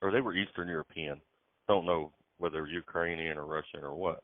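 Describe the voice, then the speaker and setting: A man's voice: rumbling low, fairly even intonation, brisk, dry recording. A man, a truck driver, talking casually on a phone call in a laid-back, plain-spoken way. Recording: tinny phone line.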